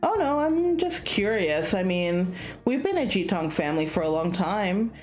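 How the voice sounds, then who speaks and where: A woman's voice: medium pitch, sing-song, moderate pace, darkish tone, soft background music, lightly acted. A woman playing a curious, polite young male student in a visual novel, speaking in a hesitant, conversational way.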